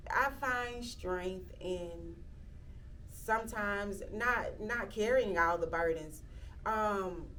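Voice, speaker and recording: A woman, speaking reflectively in an interview, often pausing with "um" and restarting sentences. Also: a little high, distant mic, a little hiss or hum. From Athens, Georgia, US. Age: 30s. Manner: reflective, with frequent "um" fillers and restarts. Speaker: interviewee, local county commissioner. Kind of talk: casual interview talk.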